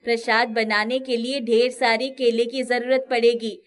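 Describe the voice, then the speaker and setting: A village neighbour woman, played by a female performer, has a high voice and a friendly but bossy air. She instructs emphatically, in the manner of a cartoon folk tale. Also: little pitch movement, measured pace, close to an everyday voice. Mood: friendly, instructing.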